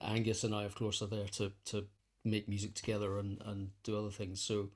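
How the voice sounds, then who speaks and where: A man's voice: lowish voice, monotone, fairly quick. A man being interviewed, explaining his work in a casual conversational way, thinking aloud and repeating phrases as he goes. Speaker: interview guest, composer and conductor.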